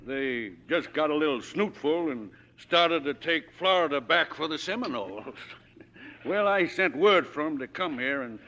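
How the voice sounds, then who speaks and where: A man's voice: a little high, animated, moderate pace, bright, forceful tone, big-room sound. An actor playing a feisty, folksy old father who owns a hotel, in a 1940s radio drama. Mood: folksy, chatty. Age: older. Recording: dull 1940s radio recording.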